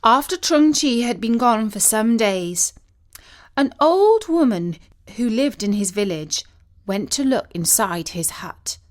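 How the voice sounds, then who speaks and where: A woman narrating a scripted story as a storyteller, in an expressive, deliberate and solemn dramatic manner. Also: a little high. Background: music.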